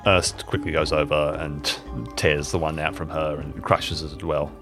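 A man's voice: a bass voice, level tone, normal speed, music in the background. A man playing in an improvised audio drama, talking casually and stumbling a little as he makes up his part. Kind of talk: casual improvised role-play chat.

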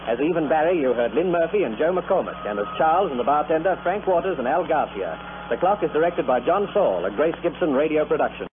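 A man's voice: fairly high pitch, very animated, fast talker, noisy background. Muffled old-time male radio announcer. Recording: muffled old radio recording, music behind.

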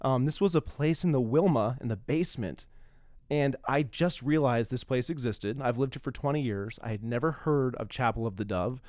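A man's voice: mid-range voice, normal speed, darkish tone, no echo, clean studio sound. A middle-aged man hosting a podcast, shifting between dramatic reading and casual talk. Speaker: podcast host.

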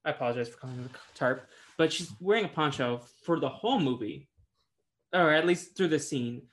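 A man's voice: fairly high pitch, brisk, fairly bright tone. A man co-hosting a podcast in casual chat, rambling with many fillers and light laughs. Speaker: podcast co-host.

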